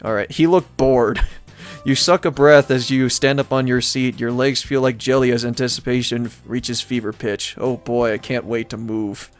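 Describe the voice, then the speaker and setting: A man's voice: medium pitch, steady tone, normal speed. Casting: male let's player, storybook narration mixed with offhand wry asides, game music underneath. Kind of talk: reading visual novel aloud with casual commentary.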